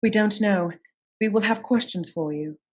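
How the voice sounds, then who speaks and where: A woman's voice: mid-range voice, lightly acted. Composed, shrewd lady detective played by a woman. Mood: grave, courteous. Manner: measured, polite, decisive. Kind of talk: radio play acting.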